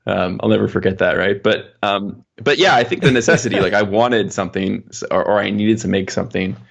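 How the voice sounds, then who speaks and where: A man with a lowish, gravelly voice talks at a rapid clip in a casual interview. He rambles informally as he explains things. The recording is dull and lo-fi, like muffled call audio. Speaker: podcast guest, software engineer.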